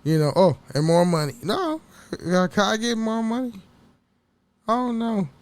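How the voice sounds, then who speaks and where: A man with a notably high voice talks casually as a solo podcast host. He rambles, doubles back on words and stammers through sentences, dropping swear words freely as he goes. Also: animated, unhurried. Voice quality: voice prone to cracking.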